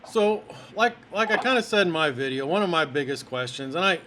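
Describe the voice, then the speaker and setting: A man's voice: fairly high pitch, animated, fairly quick, pushed and bright, a little hiss or hum. A man in his fifties talking casually and unscripted as a YouTube host, hesitant and self-effacing. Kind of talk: casual unscripted talk, intro and phone interview.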